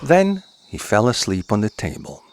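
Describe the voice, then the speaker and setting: A man narrating a children's folktale as a scripted story, in a deliberate, expressive manner. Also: on the low side.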